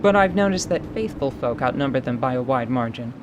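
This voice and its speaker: A man voicing a battle-worn, winged fantasy mercenary in an audio drama, stoic and wry, speaking in a dry, formal, deliberate way. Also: medium pitch, expressive, noisy background, natural voice. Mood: stoic, explanatory.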